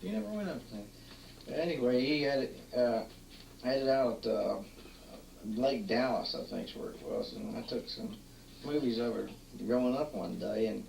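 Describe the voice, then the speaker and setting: A man, casually narrating old family home movies at home with many halting pauses and restarts. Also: medium pitch, expressive, gravelly, a little mellow. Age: 40s. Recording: roomy, distant, faint hiss, 1980s home tape.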